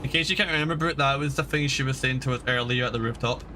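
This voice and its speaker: A man's voice: mid-range voice, fast talker, background noise. A man chatting casually and informally as he streams a game and talks to his viewers. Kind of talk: casual live stream commentary.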